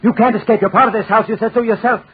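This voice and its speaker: Crazed old man, kinda high raspy voice, obsessive, muffled old radio drama. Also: steady tone, racing. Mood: frantic, raving. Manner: agitated, obsessively repeating phrases. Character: frantic old madman, obsessed and murderous. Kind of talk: 1940s radio horror acting. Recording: muffled scratchy 1940s radio recording.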